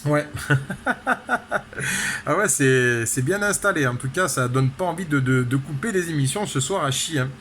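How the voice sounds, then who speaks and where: A man's voice: medium pitch, moderate pace, pauses often, fairly bright tone. A man chatting casually as an amateur radio operator, peppering his talk with tag words. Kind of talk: casual radio contact chat. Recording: clear background noise.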